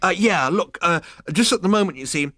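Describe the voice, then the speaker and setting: A man playing a fidgety young spacefarer who stammers and rushes his excuses in a strained, raised voice. The delivery is loud and quick, and recorded dry. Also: sits high, animated, no echo, voice pushed up, heavily acted. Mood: flustered. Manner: stuttering, nervous, hurried. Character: flustered young male space adventurer.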